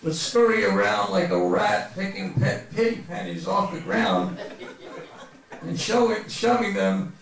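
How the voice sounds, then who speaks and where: Male author at a live reading, gravelly edge, comic mock-grandiose storytelling, recorded far from the mic in a reverberant space. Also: high-pitched, very animated, unhurried. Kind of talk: humorous memoir reading to a live audience. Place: bookstore reading event before an audience. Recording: very echoey room, distant mic.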